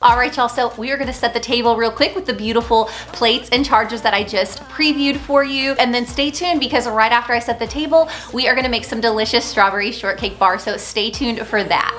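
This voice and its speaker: A woman's voice: fairly high pitch, a little fast, hardly any pauses, fairly bright tone, faint music behind. A woman giving a friendly, chatty voice-over for a home decorating video. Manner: friendly, chatty, addresses viewers as y'all. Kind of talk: casual voice-over tutorial.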